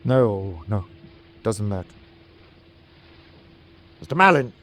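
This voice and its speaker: An actor playing a courteous but embattled submarine captain in his forties, formal and measured, in a wartime radio drama. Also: lowish voice, very animated, fairly bright tone, slight background noise, full character voice. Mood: urgent, commanding. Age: 40s. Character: forty-year-old German U-boat captain, courteous but embattled.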